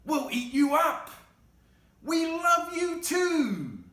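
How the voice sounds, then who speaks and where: A man voicing a clingy, pleading wild monster from a storybook, loud and drawn out. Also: very high voice, very animated, extremely slow, roomy sound, pitched above the performer's own voice, exaggerated voice.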